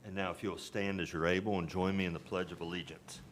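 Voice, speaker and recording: A man chairing a town board meeting in a measured, formal way. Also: low-pitched, level tone. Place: town council chamber. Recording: noisy meeting room recording.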